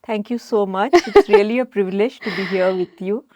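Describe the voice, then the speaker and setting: A woman's voice: mid-range voice, darkish tone. A middle-aged woman from Odisha with an Indian English accent, a college principal speaking thoughtfully as a podcast guest. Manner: thoughtful, articulate, measured. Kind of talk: podcast interview.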